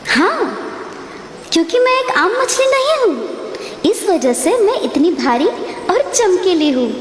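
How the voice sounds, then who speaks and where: A woman voicing a gentle magical talking fish princess in a children's cartoon, sweet and expressive. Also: very high-pitched, measured pace, echoey room, in a large hall, a little hiss or hum, full character voice. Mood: playful, proud.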